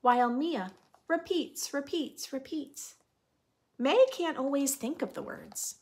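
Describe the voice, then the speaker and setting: High-voiced woman with a creaky edge. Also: lively intonation, slow pace, a little mellow. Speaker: host of a children's read-aloud show. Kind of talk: picture book read-aloud for preschoolers. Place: indoor room with bookshelf and armchair.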